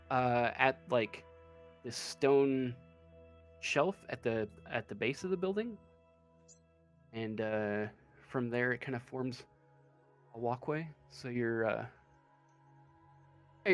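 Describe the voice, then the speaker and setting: Slow, hesitant man running a tabletop game. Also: medium pitch. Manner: hesitant, searching for words. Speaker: game master. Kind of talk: improvised tabletop game narration.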